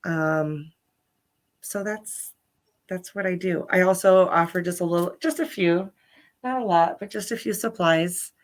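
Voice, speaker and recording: A woman's voice: on the low side, a little slow. A woman guest, a Reiki healer, chatting casually about her services with lots of ums. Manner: bubbly, many ums and restarts. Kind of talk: casual interview chat. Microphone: livestream video call.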